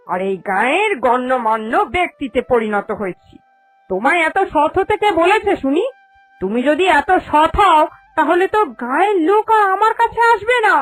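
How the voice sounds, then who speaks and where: Woman voicing a haughty, high-voiced village moneylender matriarch. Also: low-quality recording. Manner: haughty, scolding, emphatic. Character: vain, greedy, bossy village moneylender matriarch. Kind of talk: Bengali cartoon fairy-tale voice acting. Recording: music under the voice.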